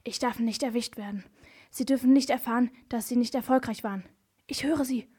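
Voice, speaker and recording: A woman's voice: on the high side, fairly even intonation, brisk, warm tone, breathy edge. A young female amateur playing an injured male patient bent on revenge in a radio crime play. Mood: grim, secretive.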